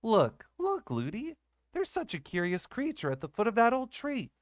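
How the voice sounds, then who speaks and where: A man voicing a chatty, curious little girl princess, high and eager. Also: huge pitch swings, normal speed, no room sound, studio quality, full character voice.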